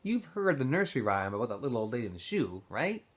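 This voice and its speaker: Awkward, polite teenage camp boy read by a man, hesitant and animated, for a storybook. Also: medium pitch, quick pace, lightly acted. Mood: awkward, amused.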